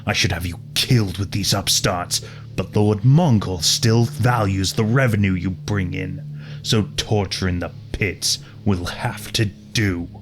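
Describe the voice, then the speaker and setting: Man playing a low, scornful old warrior father. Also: measured pace, soft background music. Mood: contemptuous anger. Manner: haughty, scolding. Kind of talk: superhero fan audio drama.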